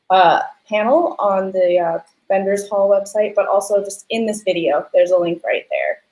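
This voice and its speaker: A woman's voice: medium pitch, a little mellow. A young woman moderating a panel conversationally, with casual, rambling phrasing. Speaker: panel host. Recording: echoey room on video call.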